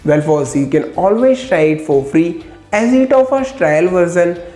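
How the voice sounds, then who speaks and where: A woman narrating a scripted software tutorial, giving steady step-by-step instructions with enthusiastic asides. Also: lowish voice, a little slow, roomy sound, faint music behind.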